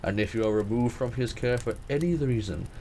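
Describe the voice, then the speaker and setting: A man's voice: medium pitch, a little fast, a little mellow, a little hiss or hum, natural voice. A man playing a protective, commanding king in a period romance audio drama, speaking formally and measuredly. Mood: resolute, protective. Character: protective, commanding king, teasing but fond cousin.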